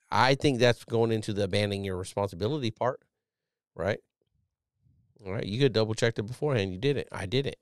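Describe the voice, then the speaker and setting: A man in his thirties hosting a podcast, talking casually as a Navy chief, loud and profane with a strong voice. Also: fairly low pitch, no echo, clean studio sound. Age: millennial, 30s. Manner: animated, profane, loud and emphatic. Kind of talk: casual solo podcast commentary.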